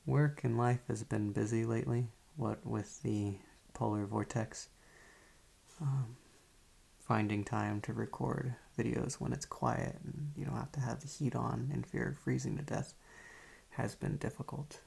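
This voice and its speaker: Gravelly, husky man, soft-spoken and low-key. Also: fairly even intonation, measured pace. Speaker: ASMR video creator. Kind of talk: soft-spoken casual channel update to viewers.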